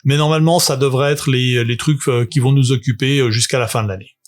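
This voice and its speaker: A man's voice: medium pitch, fairly even intonation, a little fast. A man, rambling through a casual livestream full of fillers and self-mocking asides. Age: 40s. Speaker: tabletop game author and streamer.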